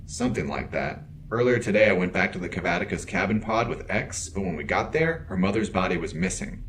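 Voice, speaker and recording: Low male android, earnest and literal, measured formal diction, sci-fi audio drama, faint noise behind. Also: animated, a bit bright. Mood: serious, explaining. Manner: precise, formal, measured wording. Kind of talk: science fiction audio drama acting.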